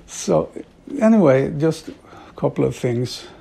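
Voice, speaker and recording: Slow, husky man with a gravelly voice. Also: fairly high pitch, animated. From Sweden. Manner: slow, halting, with frequent soft laughs. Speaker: author and devotee. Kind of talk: reflective monologue.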